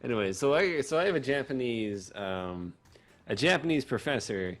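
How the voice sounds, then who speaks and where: A man's voice: medium pitch, expressive, moderate pace, soft background music. A young man telling stories casually as a podcast co-host, rambling and swearing crudely for comedy. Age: young adult.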